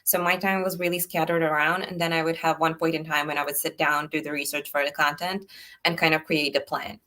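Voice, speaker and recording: A young woman from Serbia, a startup founder, chatting in a relaxed way as a guest on a video call interview. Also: low voice, fairly quick. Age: young adult. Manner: relaxed, chatty, frequent "like" fillers. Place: indoor room, bright wall behind.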